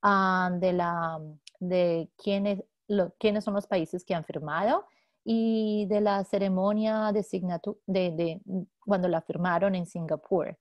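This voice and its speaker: A woman mediator presenting a webinar lecture, speaking haltingly with many fillers and restarts over a video call. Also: on the low side, steady tone, no room sound, highs cut off. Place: home office in San Diego.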